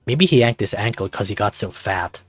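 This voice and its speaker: A man's voice: fairly low pitch, expressive, moderate pace, breathy edge, no room sound, soft background music. A man voicing a laid-back, teasing teenage best friend in a visual novel, casual and playful.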